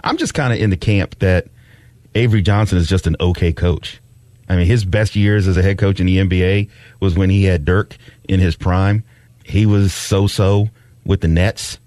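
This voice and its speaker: A man's voice: deep voice, steady tone, normal speed, a little mellow, dry recording. A man hosting sports talk radio, giving opinionated, conversational, unscripted commentary. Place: dry radio studio.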